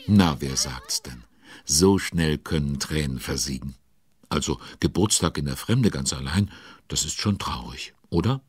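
A man's voice: a bass voice, husky, dry recording, studio quality, full character voice. A man narrating a children's audio play in a chatty, wry storyteller's manner. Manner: commenting chattily on events, addressing listeners.

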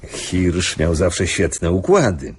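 Radio drama: male actor as senior doctor, low husky voice with swooping intonation, deliberate, guarded, doubtful of his colleagues. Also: very animated, background noise, full character voice. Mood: measured, guarded. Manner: hesitant, deliberate answers. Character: senior hospital doctor, measured, sceptical of a former colleague.

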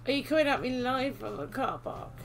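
A British woman chatting casually as she livestreams a game, unhurried and half-absorbed, her voice slightly going. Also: sits high, expressive, vocal fry. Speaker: livestream host. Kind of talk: casual livestream chat while gaming. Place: indoor room at home.

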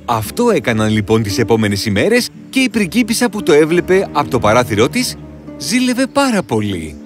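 A man narrating a cartoon fairy tale in a dramatic, expressive storytelling manner. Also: a little high, music in the background.

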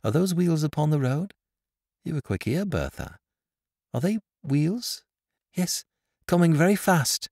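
Give the part of a warm-hearted young Victorian wife as a male reader plays her. She is excitable and breathless, her words tumbling out. The pitch leaps and swoops, and the recording is close and dry. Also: measured pace, long pauses, no room sound, heavily acted. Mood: gentle, nervous. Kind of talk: Victorian storybook reading.

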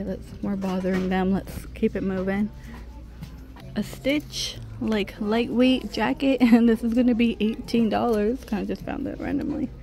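A woman's voice: medium pitch, dark tone. A middle-aged woman vlogging a casual shopping trip, chatting and reading out prices as she browses a busy store. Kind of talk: casual shop-with-me narration. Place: busy discount clothing store.

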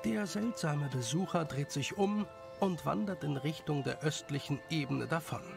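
A man's voice: a little high, normal speed, music in the background. A man reading scripted narration of a children's story as its narrator. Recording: clearly noisy background ambience.

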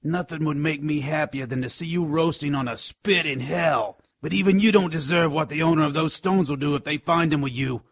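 An actor voicing a scrawny, spiteful, cowardly imp with a gravelly, raspy voice in a radio drama. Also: male voice, fairly high pitch, brisk, few pauses, no room sound, clean studio sound. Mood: grave warning. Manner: snide, resentful, excitable. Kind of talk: radio drama character acting.

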